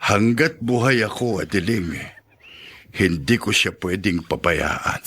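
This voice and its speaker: Elderly Filipino widower, low slightly husky voice, tender and patient, radio drama. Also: male voice, lively intonation, slow pace, fairly bright tone, heavily acted. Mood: patient, caring. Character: seventy-year-old widowed father, patient and tender.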